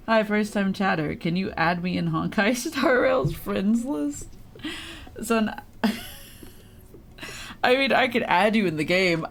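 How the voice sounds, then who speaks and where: A woman's voice: on the low side, gravelly, slight background noise. A woman live streaming a video game, chatting casually and playfully with viewers in informal, slangy language with some swearing. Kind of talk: casual gaming livestream chat with viewers. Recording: game music behind the voice. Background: game music and sounds.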